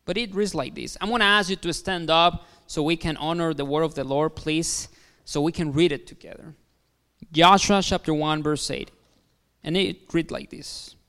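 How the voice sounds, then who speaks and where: A man with a Mexican Spanish accent preaches in a friendly, halting way, full of fillers and restarts. His voice sits a little high and sounds smooth and resonant. A long reverb trails behind him in a big hall. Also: a bit bright. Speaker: guest pastor. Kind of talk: sermon. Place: large hall.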